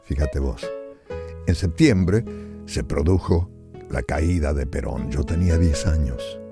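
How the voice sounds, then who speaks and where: A man's voice: a bass voice, slow, gravelly, over music. A man in his seventies with a northern Argentine accent, narrating family memories as a storyteller with conversational asides. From Salta, northern Argentina. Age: 70s. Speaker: author reading his own stories.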